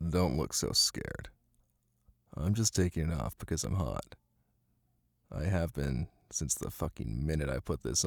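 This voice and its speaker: A man's voice: creaky, no room sound, studio quality, full character voice. A man playing a brooding, possessive young mafia heir and new husband in an intimate roleplay audio drama, blunt and commanding yet protective. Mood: coaxing. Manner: blunt, commanding, swearing, low-key intimate delivery.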